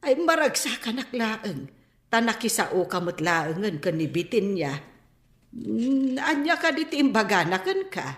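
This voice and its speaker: A woman acting a fond, teasing mother of a grown daughter in an Ilocano radio comedy-drama, with drawn-out exclamations and an Ilocano accent. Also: fairly high pitch, sing-song. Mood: teasing, curious. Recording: roomy studio echo.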